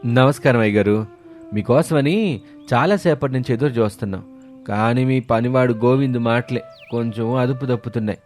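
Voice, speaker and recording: A man voicing a haughty, impatient village visitor in an animated moral story. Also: medium pitch, moderate pace, lightly acted. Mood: deferential. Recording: music behind the voice.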